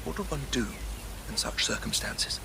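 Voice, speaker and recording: An actor playing an earnest, anxious, imaginative gentleman in a period radio drama, over a noisy background. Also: moderate pace, lightly acted. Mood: awed, wistful. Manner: hesitant, thoughtful, earnest.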